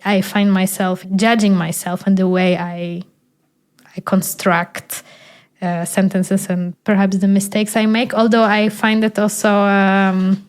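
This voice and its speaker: A young woman from Poland, Polish her first language, speaks in a casual chat. Her voice sits in a middle range. She pauses and searches for words, with gentle fillers as she reflects. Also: mid-range voice, flat delivery, clean tone. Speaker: podcast host. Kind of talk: casual conversation.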